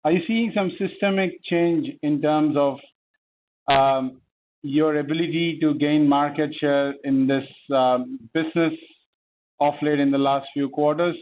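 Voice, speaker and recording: A man calling in as a financial analyst, politely asking a list of questions with frequent pauses. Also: a little high, fairly even intonation. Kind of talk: asking questions on an earnings call. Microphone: phone. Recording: phone line with faint noise.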